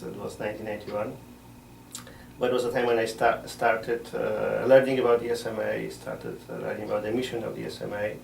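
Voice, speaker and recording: A middle-aged man, a missionary priest answering interview questions in a measured way, often pausing to find words. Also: mid-range voice, gravelly, slight background noise. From Poland. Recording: roomy sound from a distant mic.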